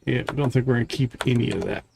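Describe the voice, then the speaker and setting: A man's voice: mid-range voice, fast, vocal fry, background noise. A man giving casual live gameplay commentary as a streamer, thinking aloud to himself in short fragments. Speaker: game streamer.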